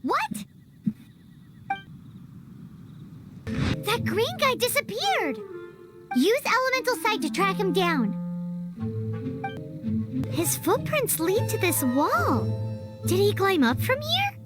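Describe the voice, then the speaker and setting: A woman's voice: very high voice, huge pitch swings, takes it slowly, pauses often, over loud music, exaggerated voice. A woman voicing a tiny, chatty fairy companion in a video game, exclamatory and inquisitive. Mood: surprised, urgent.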